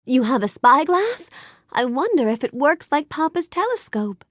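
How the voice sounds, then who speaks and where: A woman's voice: high-pitched, very animated, moderate pace, dry recording, studio quality. A woman voicing a gracious, bookish young storybook heroine in a video game, speaking politely and expressively with careful enunciation. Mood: friendly curiosity. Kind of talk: scripted video game character lines.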